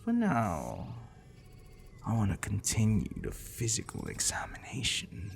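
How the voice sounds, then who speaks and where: A man playing a possessive, smitten professor in an intimate ASMR roleplay, wordy and clinical, with teasing, musing pauses. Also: on the low side, wildly swooping pitch, vocal fry, a little hiss or hum, full character voice. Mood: sly, teasing.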